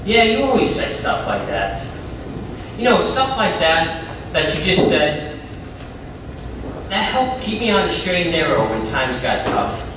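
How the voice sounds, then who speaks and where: In a very echoey, noisy stage recording, a man plays an eager, newly sober ex-convict. He speaks slowly and haltingly, with emphatic, animated swings of pitch and grateful earnestness. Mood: earnest, reflective. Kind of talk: community theatre stage acting. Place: indoor theatre stage, mic far off. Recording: distant, very echoey stage recording with clear noise.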